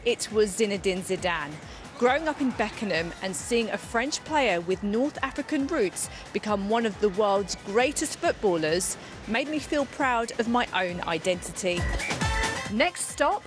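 A woman from London narrates a news feature in a personal, reflective tone. Her voice is fairly high and moves a lot. Clear music plays beneath her voice-over. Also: expressive, a little slow. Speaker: reporter. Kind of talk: scripted report narration.

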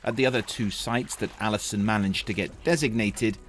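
A man's voice: on the low side, brisk, soft background music. A man narrating a scripted television news report as a correspondent.

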